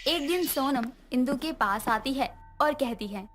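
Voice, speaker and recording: Woman storyteller, high voice, scripted narration with faint music under it. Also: lively intonation, measured pace, fairly bright tone.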